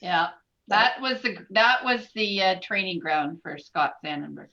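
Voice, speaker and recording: A woman's voice: medium pitch, lively intonation, unhurried, sharp tone. A woman making wry remarks in a casual group video call. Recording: slightly dull video call audio.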